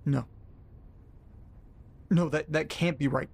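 A male actor plays a frightened man in an audio drama monologue, alone and hungover. His voice sits slightly high for a man and moves at a slow pace. He halts, repeats himself and swears as he tries to make sense of things. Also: steady tone, creaky, darkish tone, slightly rough, full character voice. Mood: bewildered, uneasy.

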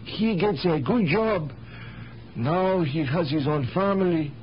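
An actor playing a kindly, fussing immigrant shopkeeper in a radio drama, repeating himself in broken English. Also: male voice, fairly high pitch, slow pace. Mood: disapproving. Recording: muffled old radio broadcast, faint hiss.

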